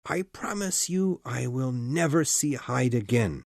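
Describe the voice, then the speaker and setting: A man's voice: mid-range voice, expressive, unhurried, creaky, no room sound, clean studio sound. A man playing a pale, shaken doctor who speaks in a thin, weak voice, anxious and insistent. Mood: agitated. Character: pale shaken middle-aged male doctor, nervous. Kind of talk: audiobook dialogue.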